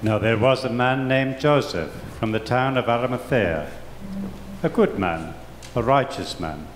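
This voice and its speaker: A man's voice: medium pitch, a little slow. Man reading scripture in an echoey church. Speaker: scripture reader at a church service. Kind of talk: solemn narrative scripture reading. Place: large church interior before a congregation. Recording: echoey church hall, background noise.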